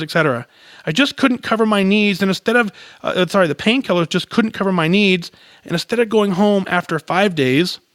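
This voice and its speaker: A man with a high voice reads a listener's letter on his podcast, earnest and measured, his sentence endings falling soft. The sound is dry and close, with no room around it. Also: no echo. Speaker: podcast host. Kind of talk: reading a listener's letter aloud.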